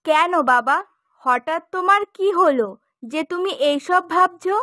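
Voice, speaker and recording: Very high-pitched pert village daughter. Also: female voice, unhurried, pushed and bright, no room sound, clean studio sound, exaggerated voice. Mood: concerned, curious. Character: devoted, outspoken young village daughter, affectionate and bossy. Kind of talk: Bengali moral-story cartoon dialogue.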